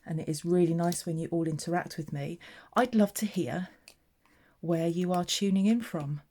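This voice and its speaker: A woman chats away as she works through a papercraft tutorial, describing each step aloud. Her voice sits low and sounds mellow and unhurried. The talk is casual and friendly in a how-to way. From southeast England. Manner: chatty, narrating her own hands-on work. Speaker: papercraft demonstrator. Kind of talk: casual craft tutorial chat.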